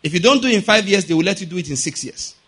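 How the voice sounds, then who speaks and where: High-pitched Nigerian man preaching. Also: lively intonation, very fast, fairly bright tone, husky. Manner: didactic, repetitive, checks understanding with rhetorical questions. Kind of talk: sermon, teaching with everyday examples.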